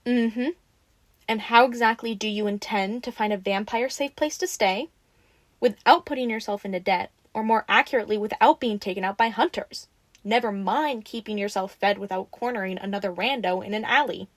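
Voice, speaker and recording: A woman's voice: mid-range voice, brisk, dry recording, lightly acted. Girl acting as a playful bossy vampire lady for an audio roleplay, teasing but caring, super dry close sound. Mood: frank, probing. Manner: playful, bossy, expressive, informal. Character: teasing, sassy, caring female vampire taking in a newly turned one. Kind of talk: audio roleplay addressed to the listener.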